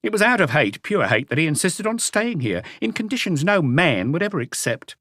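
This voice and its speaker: A man's voice: on the high side, sing-song, fairly quick, fairly bright tone, no room sound, studio quality, voice pushed up. A man voicing a defiant, impatient woman who is blunt, scornful and unapologetic, in an audiobook story. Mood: bitter. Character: defiant, impatient woman, proud of her lover.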